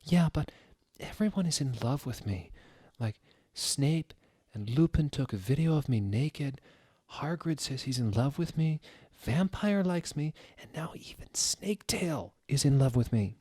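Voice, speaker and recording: A man's voice: medium pitch, animated, unhurried, hardly any pauses, warm tone, slightly rough, no echo, pitched above the performer's own voice. A man voicing a melodramatic, vain teenage goth girl in an overwrought, whiny manner for a dramatic fan fiction reading. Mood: self-pitying.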